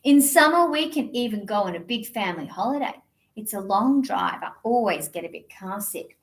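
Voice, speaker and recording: A woman's voice: mid-range voice, expressive, moderate pace. A woman presenting a children's storytime, reading a picture book in a playful, theatrical, sing-song way. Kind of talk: animated picture-book reading and action songs for young children.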